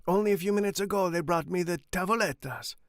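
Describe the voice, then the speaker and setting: A man's voice: sits high, quick pace, no echo, studio quality, pitched above the performer's own voice. A man voicing a fawning, self-satisfied old Italian innkeeper with a put-on Italian accent and a formal, obsequious manner. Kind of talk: audiobook character reading.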